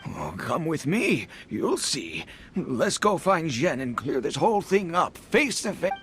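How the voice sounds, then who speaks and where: A man's voice: fairly high pitch, sing-song, unhurried, a bit bright, a little hiss or hum, exaggerated voice. An actor playing a fretful, grumbling old village man in a video game. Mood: aggrieved. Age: older.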